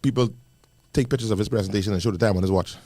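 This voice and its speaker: A Bahamian man co-hosting a radio panel talk show, giving animated opinions with many restarts. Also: on the low side, rapid-fire, dry recording. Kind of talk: casual radio panel discussion.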